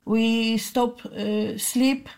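An older Polish woman, a charity founder, answering in halting, direct English with a Polish accent. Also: a little high, fairly even intonation, darkish tone. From Poland. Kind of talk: interview answers.

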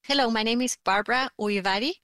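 A woman from Argentina, a mother, describing her favourite snack with gentle humour in an interview. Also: fairly high pitch, quick pace, no echo, clean studio sound. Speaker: mother, listener contributor. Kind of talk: conversational interview answers.

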